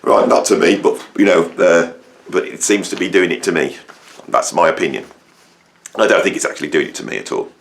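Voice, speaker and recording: A middle-aged man from England with a croaky voice, giving an opinionated monologue to camera, rambling and emphatic with many fillers and restarts. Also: low voice, expressive, fairly quick, a little hiss or hum. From England, near Birmingham. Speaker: musician and educator, channel host.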